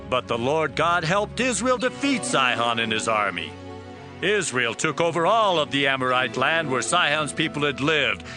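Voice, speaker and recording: A man doing a defiant outcast warrior chief in a dramatized scripture drama. The voice sits a bit high and swings widely in pitch as he presses his case. Music plays steadily behind him. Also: natural voice. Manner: forceful, challenging questions. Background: background music.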